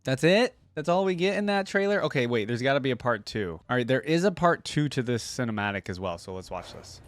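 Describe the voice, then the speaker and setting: A man's voice: medium pitch, expressive, brisk. A young adult man hosting a reaction video, giving informal commentary as he thinks aloud. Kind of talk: casual running commentary on videos.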